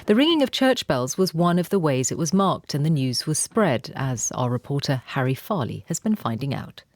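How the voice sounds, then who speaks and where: A man's voice: on the high side, very animated, moderate pace, dry recording. A man with a British accent presenting a radio programme, reading a scripted news introduction.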